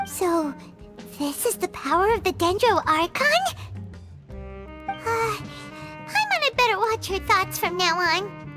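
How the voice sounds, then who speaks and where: The pint-sized flying sidekick of a fantasy video game, squeaky and flustered, swooping up and down at a piercing height. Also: female voice, takes it slowly, pauses often, over music, exaggerated voice. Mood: curious. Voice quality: squeaky, childlike. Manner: speaks of herself in third person, animated. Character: tiny excitable female fairy companion, blunt and flustered.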